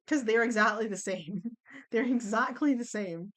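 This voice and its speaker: A young woman chatting bluntly as she ranks songs at home on her computer, her voice going a little hoarse. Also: fairly high pitch, a little slow. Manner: chatty, blunt, swears and laughs easily. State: losing her voice. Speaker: vlogger ranking songs. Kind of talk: casual chat.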